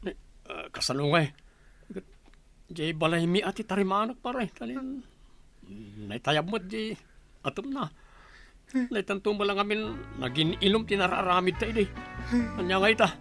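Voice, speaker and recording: High, animated Filipino drinking buddy. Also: male voice, moderate pace, creaky, pauses often, soft background music, full character voice. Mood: grumbling. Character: dismissive village drinking buddy, easygoing and teasing. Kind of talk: Filipino radio comedy drama acting.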